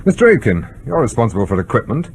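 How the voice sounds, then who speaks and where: Stern submarine commander: formal, clipped authority, widely varied pitch, noticeable background noise. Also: male voice, big pitch swings, fast talker. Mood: stern, irritated. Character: stern, exacting male naval commanding officer of a submarine. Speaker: actor in a radio drama. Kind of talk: British radio drama acting.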